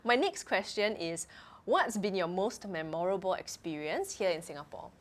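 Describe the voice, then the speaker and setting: A woman hosting a podcast, asking interview questions in a bright, lively manner. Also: on the low side, moderate pace. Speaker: podcast host.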